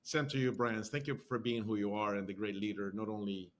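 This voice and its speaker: A man's voice: on the low side, fairly even intonation, fairly quick. A middle-aged man from Honduras with a Spanish accent, a guest answering questions in a podcast interview in an earnest, emphatic way that repeats key phrases. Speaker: guest, marketing executive.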